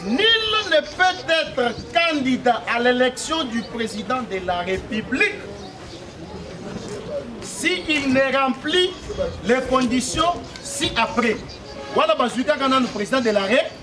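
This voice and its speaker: A man's voice: extremely high pitch, lively intonation, a little slow. A man from Congo giving an explanatory public address at an outdoor political meeting, checking that the crowd follows and leading chants. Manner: explanatory, repeatedly checks the crowd understands, leads call-and-response chants. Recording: distant mic, crowd heard behind. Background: crowd responding.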